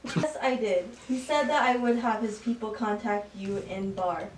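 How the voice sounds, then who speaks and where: A woman's voice: mid-range voice, normal speed, lightly acted. Woman playing a pushy president in a roomy recording. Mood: bargaining. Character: male US president, self-serving, pushy, bargaining. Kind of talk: amateur student satire acting. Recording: roomy, distant mic, background noise.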